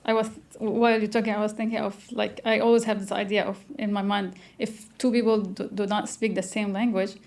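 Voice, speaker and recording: Hesitant woman host, flat voice. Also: medium pitch, a little fast, gravelly, hardly any pauses. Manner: hesitant, restarts often, gentle. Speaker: interview host. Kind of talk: casual interview conversation.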